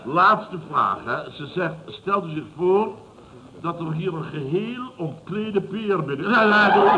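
An actor in his fifties playing a self-satisfied, blustering building boss in a vintage radio comedy, chuckling and repeating himself. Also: high voice. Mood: blustering, mocking. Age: 50s. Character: gruff, self-satisfied older male building boss telling tales. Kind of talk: 1970s Dutch radio comedy acting. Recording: muffled, noisy vintage radio recording.

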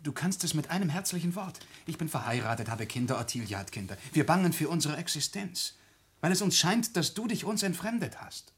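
An actor playing the anxious, earnest grown son of a patriarch in a German radio play, speaking formally. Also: male voice, on the high side, sing-song, brisk, a bit bright, husky, full character voice. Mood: anxious, pleading.

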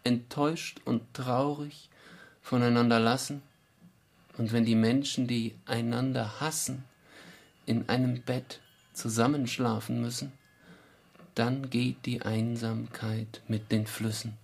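An actor in a radio drama playing a solemn, melancholy man who recites verse in a gravelly voice with measured, weighty phrasing. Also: medium pitch, level tone, darkish tone.

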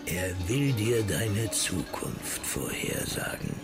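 A deep, gravelly old fortune-teller. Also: male voice, big pitch swings, music in the background, heavily acted. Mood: ominous. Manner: slow, whispery, ominous. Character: old male fortune-teller, mystic and menacing. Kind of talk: radio play acting.

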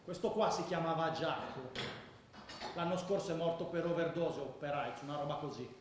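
An actor in a radio drama playing a fervent football ultra who tells stories in a colloquial, emphatic way. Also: male voice, fairly high pitch, brisk, close to an everyday voice. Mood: earnest, proud. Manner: colloquial, emphatic, bursting into shouts and chants. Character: fervent male football ultra recounting matches and brawls. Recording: echoey room with faint noise.

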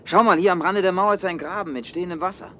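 An actor playing a practical, gently teasing geologist in a science-fiction radio drama, with an assured, matter-of-fact manner. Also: male voice, high-pitched, fast, slight background noise.

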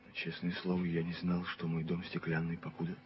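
Casting: male actor as proud, earnest young doctor, deep-voiced, easily abashed; muffled vintage radio drama. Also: completely flat delivery, brisk, lightly acted. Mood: humbled, regretful. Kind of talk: 1970s Soviet radio play acting. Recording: muffled old radio recording.

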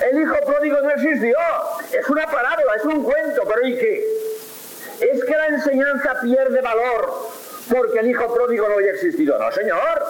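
Man lecturing on faith, high voice, swooping intonation, roomy hissy old recording. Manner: rhetorical questions answered emphatically, animated. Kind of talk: lively catechetical lecture.

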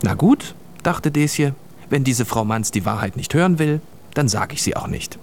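A man's voice: medium pitch, expressive, normal speed, a little hiss or hum. A man narrating a scripted children's radio play as a storyteller.

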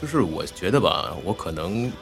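A man's voice: deep voice, very animated, moderate pace. A man who works as a voice actor chatting casually as a guest, often hesitating and repeating his words. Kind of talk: casual panel chat. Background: background music.

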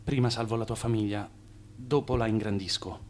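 An actor playing an ambitious, boastful immigrant schemer in radio theatre, with an emphatic, self-assured delivery. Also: male voice, lowish voice, steady tone, brisk, a little mellow, a little hiss or hum, lightly acted. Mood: firm.

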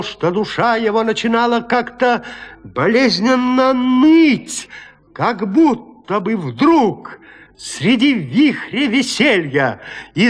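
A man narrating classic literature in an expressive, deliberate, theatrical manner. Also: very high-pitched. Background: music.

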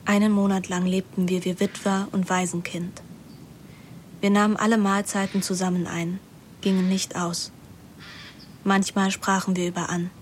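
An actress playing a melancholy teenage daughter, narrating a radio play in a measured, introspective way. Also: female voice, medium pitch, flat delivery, slight background noise, natural voice. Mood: detached, melancholy.

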